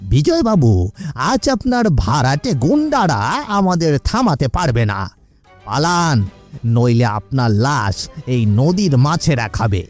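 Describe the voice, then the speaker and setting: Man acting an animated, earnest old village uncle. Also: a little high, measured pace, faint music behind. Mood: defiant. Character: earnest, emotional elderly village uncle, proud and moralising. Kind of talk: cartoon acting.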